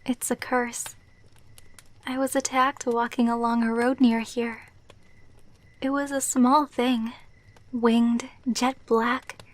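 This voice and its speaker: A woman playing a gentle, wistful vampire girlfriend in an ASMR roleplay, speaking softly and tenderly. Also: on the high side. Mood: somber, reflective. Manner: soft, slow, tender, wistful delivery.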